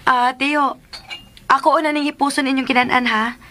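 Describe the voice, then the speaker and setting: A woman's voice: high voice, steady tone, normal speed, bright, forceful tone, slight background noise. An actress playing a humble, hesitant young woman in a radio drama, speaking with a Cebuano Filipino accent and a deferential manner. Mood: dutiful, gentle. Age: young adult.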